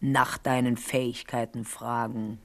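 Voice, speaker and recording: A woman's voice: rumbling low, level tone, a little slow. An actress playing a scheming older queen mother, condescending and needling, in German radio drama style. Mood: needling, menacing.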